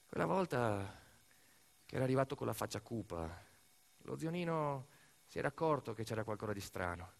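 A man's voice: medium pitch, big pitch swings, normal speed, vocal fry, long pauses. A man performing a theatrical narrative monologue, conversational and emphatic, full of asides and lists. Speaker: storyteller actor in a radio theatre monologue. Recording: music under the voice. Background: music.

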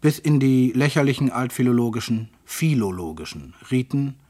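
Männerstimme, bitterer, karger Monolog. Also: male voice, medium pitch, big pitch swings, moderate pace, dark tone. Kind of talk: recited autobiographical monologue.

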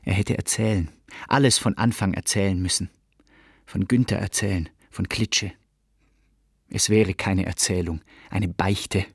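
A man with a husky, gravelly voice narrating a literary radio play. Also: sits low, fairly even intonation, measured pace, no echo, clean studio sound.

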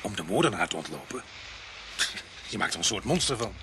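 A man's voice: sits low, big pitch swings, fairly quick, background noise. A man acting in a radio drama as a hot-headed police sergeant, protective of his people and emphatic. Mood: incredulous.

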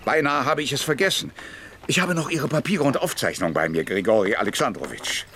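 An actor playing a warm, garrulous old staff captain in a German radio play, effusive, agitated and easily hurt. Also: male voice, mid-range voice, expressive, fairly quick, slight background noise. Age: older.

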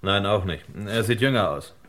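A wary hotel guest in a radio crime play. His voice is low, and his replies are short and dry. He keeps his guard up. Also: animated, moderate pace, fairly bright tone. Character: wary, dry-witted male hotel guest held at gunpoint. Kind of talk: German radio crime drama acting.

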